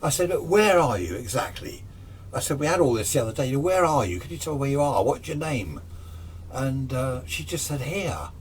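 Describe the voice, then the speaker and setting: A man's voice: medium pitch, lively intonation, moderate pace, a little hiss or hum. A man tells a personal story casually and unscripted, in a rambling, conversational way, acting out remembered exchanges. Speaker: storyteller recounting personal radio anecdotes.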